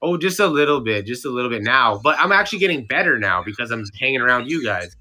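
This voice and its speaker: A man's voice: mid-range voice, big pitch swings, fast, bright, forceful tone. A young man from Canada, a streamer guest chatting in an interview, rambling and restarting with lots of fillers. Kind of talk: casual interview chat.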